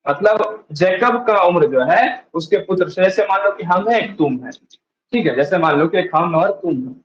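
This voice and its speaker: A man with a high voice teaches maths, walking through each step by asking a question and answering it himself. He says the key points more than once. The sound is dull and lo-fi, with an echo from the room. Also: expressive, normal speed, slight background noise. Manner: asks and answers his own questions, repeats steps. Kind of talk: classroom maths lesson.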